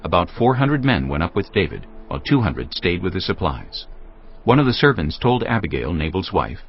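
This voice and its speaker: A man narrating scripture from a script in a measured, solemn storytelling manner. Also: deep voice, big pitch swings, dull audio, a little hiss or hum.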